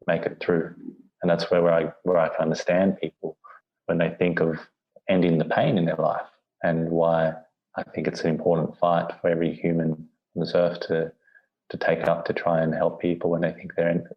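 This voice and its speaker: A man speaking as a podcast guest, talking candidly and haltingly, often restarting his words. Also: deep voice, lo-fi sound. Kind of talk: candid personal conversation.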